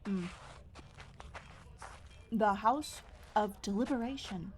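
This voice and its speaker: Fantasy audio drama outcast guide, young female, played by a woman: dry, brisk, mid-range voice over slight background noise. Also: mid-range voice, lightly acted. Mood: awkward, reflective. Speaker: voice actor. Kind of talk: fantasy audio roleplay acting.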